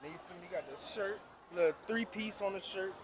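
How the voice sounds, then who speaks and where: A man's voice: high-pitched, fast talker, noisy background. A young man answering casually in an outdoor interview, laid-back and slangy.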